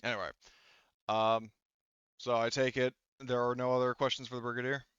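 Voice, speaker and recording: Guy game mastering, halting and informal, crisp bright voice, dry slightly dull mic. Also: medium pitch, little pitch movement, no echo. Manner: halting, informal, frequent "like" and "you know". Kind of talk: improvised narration of a tabletop roleplaying game.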